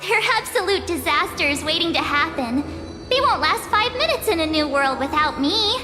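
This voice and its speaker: A woman's voice: extremely high pitch, animated, normal speed. A woman voicing a cheerful, loyal, brave teenage girl adventurer in an anime game, speaking hesitantly but earnestly. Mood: warm, determined. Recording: long reverb, music behind.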